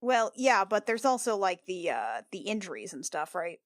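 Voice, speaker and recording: A woman at a tabletop game talks in a casual, informal way, often halting and repeating herself. Her mid-pitched voice is recorded dry, with no room sound. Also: very animated, no echo. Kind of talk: casual in-character and table chat.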